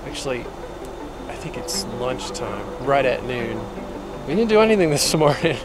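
Dad talking to his camera at a campsite, easygoing and chatty, mid pitch, loud music behind. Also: moderate pace. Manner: relaxed, chatty, self-deprecating. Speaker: family vlogger. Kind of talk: casual vlog to camera. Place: outdoors at a campground. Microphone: camera held at arm's length.

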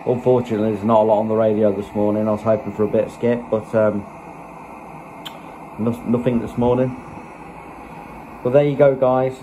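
Flat, low-key man reviewing gear. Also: brisk, pauses often, background noise. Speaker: video channel host, product reviewer. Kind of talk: casual unscripted product review. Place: small room indoors. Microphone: phone microphone, held behind the camera.

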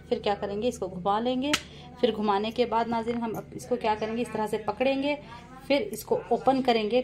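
A woman's voice: a little high, darkish tone. A woman giving a casual step-by-step cooking narration, often addressing viewers directly and repeating her instructions. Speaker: home cook and vlogger presenting a recipe. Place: home kitchen. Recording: clear kitchen noise, clattering pots and sizzling. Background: pots clanking, frying and stirring.

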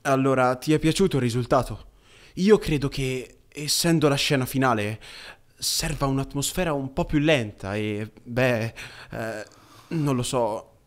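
A man's voice: mid-range voice, unhurried, lightly acted. A man voicing a timid, apologetic young male wolf student in an anime fan dub, hesitant and stammering. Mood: nervous, hesitant.

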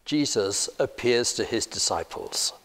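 Older male reader, slow, deliberate scripture reading with church reverb. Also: medium pitch. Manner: steady, deliberate reading aloud. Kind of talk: Bible reading. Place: church, indoors, seated at a lectern.

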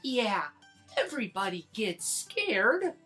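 A man puts on a small kindergarten child from a picture book. The child sounds anxious but plucky, with a very animated pitch. Music plays underneath. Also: slow, husky, heavily acted. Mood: reassuring.

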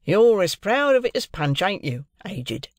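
A man voicing a brisk, private but fond Victorian clerk in an audiobook, speaking in an emphatic, matter-of-fact way. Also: high-pitched, sing-song, no echo, studio quality, voice pushed up. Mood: fond, teasing.

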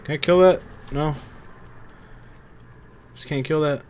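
A man's voice: on the high side, fairly even intonation, takes it slowly. A man giving casual, profane gameplay commentary, muttering to himself as he plays. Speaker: video game player. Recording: noisy, game gunfire behind. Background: game ambience and gunfire.

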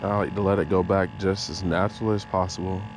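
A man narrating his fishing to viewers from a small boat outdoors, excited running commentary, recorded on a head-mounted action camera. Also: deep voice, fast talker, over music. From Florida. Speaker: fishing vlogger. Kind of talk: first-person fishing narration.

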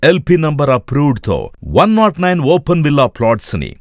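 A man's voice: on the high side, expressive, fast talker, no room sound, clean studio sound. A man reading a scripted promotional voice-over as an advertisement announcer.

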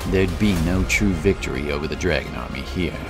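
A man's voice: low-pitched, a little fast, creaky. A man reading scripted fantasy narration in a dramatic storytelling style. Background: prominent music.

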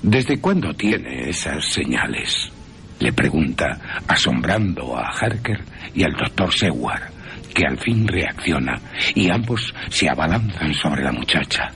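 A man narrating a dramatic scripted radio story in a theatrical, deliberate manner, with wry asides. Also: extremely low pitch, lively intonation, pushed and bright.

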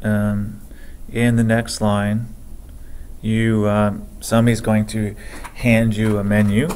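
A man teaching a programming lesson over a screen recording, speaking slowly and haltingly as he reads code aloud. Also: on the low side, flat delivery, darkish tone, slight background noise. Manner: slow, halting, dictates code aloud with many pauses. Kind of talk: screen-recorded coding tutorial.

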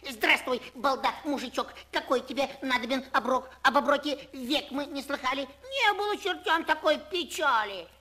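A man voicing a small young imp on a children's story record, with quick, sly patter. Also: very high voice, bright, forceful tone.

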